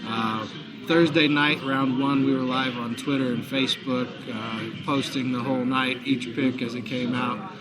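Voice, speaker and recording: A man with a hoarse voice hosting a live sports talk show, chatty and promotional, from a busy bar. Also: mid-range voice, fairly even intonation, a little slow. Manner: chatty, promotional, frequent fillers. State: hoarse, recovering lost voice. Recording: noisy bar crowd behind. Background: crowd chatter.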